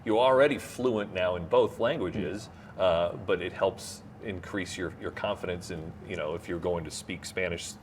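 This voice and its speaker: A man's voice: low-pitched, moderate pace, hardly any pauses, a little hiss or hum. A man hosting an interview show, chatting in a friendly way and using lots of fillers. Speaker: host.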